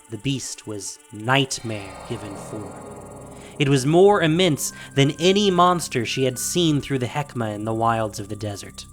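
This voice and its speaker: A man's voice: medium pitch, a bit bright. A man narrating a scripted fantasy audio drama in a dramatic storytelling style.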